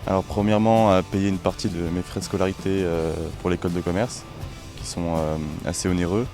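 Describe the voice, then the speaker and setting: Very deep, flat-voiced young man. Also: soft background music. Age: 20s. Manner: halting, many fillers. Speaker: business school student. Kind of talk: interview answers.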